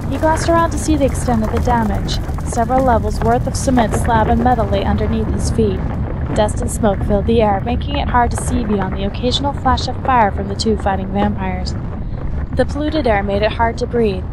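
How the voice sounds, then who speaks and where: A woman's voice: a little high, very noisy. A woman reading scripted fiction aloud as the narrator of an action fantasy story.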